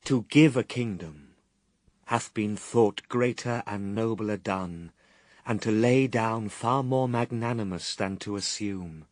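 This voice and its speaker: A British actor playing a solemn, steadfast Son of God in a classical verse radio drama, speaking in measured, earnest declamation. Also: male voice, fairly low pitch, no room sound. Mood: resolute, exhorting.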